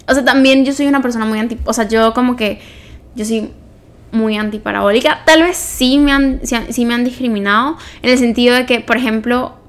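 A young woman with a Venezuelan accent telling personal stories in casual podcast chat, informally and often correcting herself as she goes. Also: a little high. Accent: Venezuelan Spanish. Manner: informal, self-correcting storytelling. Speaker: podcast co-host.

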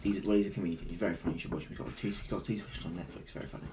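A teenage boy with a croaky voice, rambling through stories full of restarts in a casual chat with friends. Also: deep voice, fairly even intonation, rapid-fire, heavy background noise. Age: teens. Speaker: podcast co-host.

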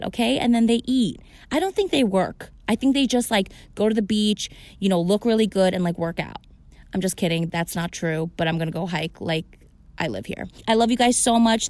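A young adult woman hosting a podcast, chatty and emphatic, swearing casually as she talks. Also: mid-range voice. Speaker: podcast host.

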